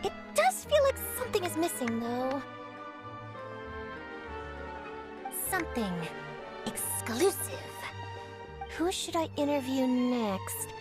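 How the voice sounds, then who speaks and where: A woman playing an eager young journalist chasing a scoop, brisk, upbeat and theatrical. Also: high voice, very animated. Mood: excited. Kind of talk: video game voice acting. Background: game score music.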